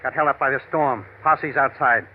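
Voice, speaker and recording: An actor playing a brisk, wisecracking police inspector who takes charge in an old-time radio drama. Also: male voice, mid-range voice, steady tone, lightly acted. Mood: brisk, assured. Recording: tinny old radio recording.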